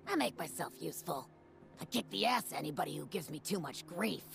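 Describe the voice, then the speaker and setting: Creaky, swaggering ghoul woman. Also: low-pitched, lively intonation, a little fast, soft background music, full character voice. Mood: bitter. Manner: cocky, streetwise, slangy talk. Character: tough, wisecracking, jaded female ghoul wasteland brawler. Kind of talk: video game character dialogue.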